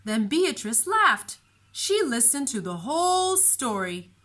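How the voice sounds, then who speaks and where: A woman storyteller narrating a children's storybook in an expressive, deliberate way. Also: sits high.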